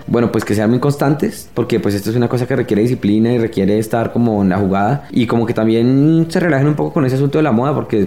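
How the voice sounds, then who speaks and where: Bogota guy, street artist chatting in an interview, talks fast, relaxed mellow voice, kinda reflective. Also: medium pitch. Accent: Bogotá Colombian. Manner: reflective, explanatory, often checks with "¿no?". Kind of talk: casual interview monologue.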